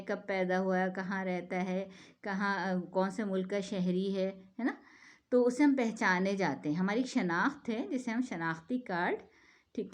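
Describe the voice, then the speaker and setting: Earnest Pakistani woman explaining with rhetorical questions. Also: medium pitch. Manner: persuasive, full of rhetorical questions and "theek hai". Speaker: speaker giving a talk on the national language.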